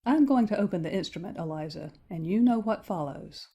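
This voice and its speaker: An actress playing a sensible young gentlewoman in a dramatized audiobook, with a knowing, teasing manner. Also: mid-range voice, animated, fairly quick, husky.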